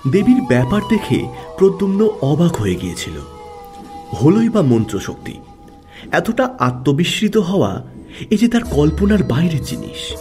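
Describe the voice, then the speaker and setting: A man narrating a literary audio story in a dramatic, expressive style. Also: fairly high pitch, normal speed, pauses often. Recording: music under the voice.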